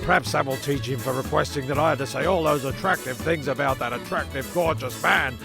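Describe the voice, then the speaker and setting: A man's voice: medium pitch, a little fast, creaky, music in the background. A man narrating a comedy audio serial as scripted storytelling, breaking off into rambling, sweary asides.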